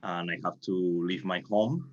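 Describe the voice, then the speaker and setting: A man's voice: sits low, fairly even intonation, measured pace. A man, a native Spanish speaker with a Spanish accent, recounting his work as a playwright in careful, non-fluent English. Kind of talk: recounting in interview. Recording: dull, muffled audio.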